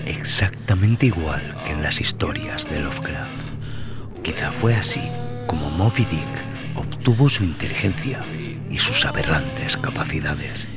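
A man narrating dramatic scripted horror as a podcast host, brooding, theatrical and deliberate in his delivery. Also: rumbling low, animated. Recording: loud music under the voice.

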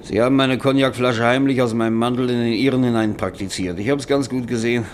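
An actor playing an ailing, exhausted middle-aged novelist, irritable and wistful, sighing and groaning in a German radio drama. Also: male voice, mid-range voice, fairly even intonation, quick pace, a little hiss or hum, lightly acted. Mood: irritable, demanding. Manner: weary, groaning, sighing, breaking into whispers.

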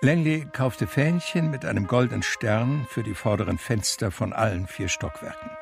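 An actor playing a reflective man who tells his life story in measured literary radio drama narration. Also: mid-range voice, creaky, natural voice. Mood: sober, reflective. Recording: music under the voice. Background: music.

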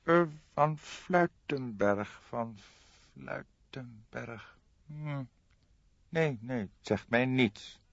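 A man's voice: medium pitch, drawn-out words, gravelly, no room sound, dull audio, voice pushed up, exaggerated voice. A man voicing a haughty, evasive doctor in his late fifties for an audiobook, growling and hemming nonchalantly. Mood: evasive, indifferent. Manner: nonchalant, hesitant hemming and drawling.

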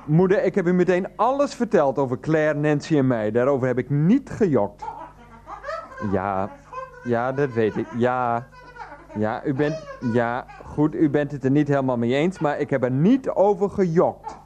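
A man's voice: medium pitch, lively intonation, normal speed, darkish tone, lightly acted. An actor playing an earnest, flustered man in a radio play, pleading and placating in hesitant, repetitive phrases. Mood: earnest, coaxing. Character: earnest, flustered adult son and partner, placatingly arguing. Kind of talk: 1990s radio play acting.

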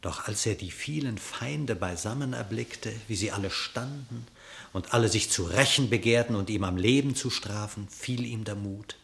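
A man narrating a classical verse radio drama in an expressive, literary storytelling manner. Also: fairly low pitch, moderate pace, gravelly.

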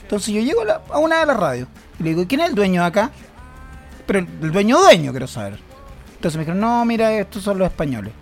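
A man's voice: high-pitched, big pitch swings, quick pace, soft background music. A Chilean man in his thirties co-hosting a comedy podcast, joking and ranting with mock indignation. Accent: Chilean Spanish. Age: 30s. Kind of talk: comedic banter.

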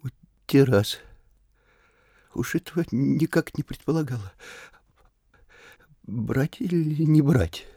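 Husky, terrified provincial official. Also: male voice, mid-range voice, lively intonation, slow pace, pauses often, mellow, no echo, studio quality, exaggerated voice. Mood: panicked, muttering. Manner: stammering, faltering, muttering fearfully. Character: terrified, cowering male provincial school superintendent. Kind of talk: theatrical audio play acting of classic comedy.

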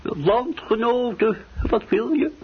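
A man's voice: very high-pitched, lively intonation, slow pace, heavy background noise, exaggerated voice. An actor playing a genial, muddled father in a comedic radio play, hesitant, stammering and repeating himself. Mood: chatty, pleased. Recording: muffled radio broadcast, chatter behind.

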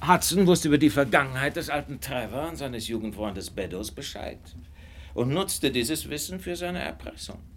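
A man acting a self-assured, keen-minded detective in a radio drama, friendly in a condescending, deliberate way. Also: medium pitch, animated, a bit bright, slight background noise. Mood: explaining, musing.

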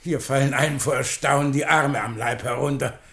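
An actor playing an indignant, sarcastic defence lawyer in a radio play, declaiming pompously and swinging from hushed tones to shouts. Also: male voice, medium pitch, fairly even intonation, a little fast, echoey room, close to an everyday voice. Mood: incredulous, mocking. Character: theatrical, indignant, sarcastic male defence lawyer pleading in court. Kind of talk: theatrical German radio play oratory.

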